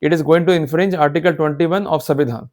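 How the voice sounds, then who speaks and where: A man's voice: fairly high pitch, fairly even intonation, takes it slowly, darkish tone. A man lecturing in an explanatory style, emphatic and exhortative, often checking that his listeners are following. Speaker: law lecturer. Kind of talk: explanatory lecture mixing Hindi with English legal terms.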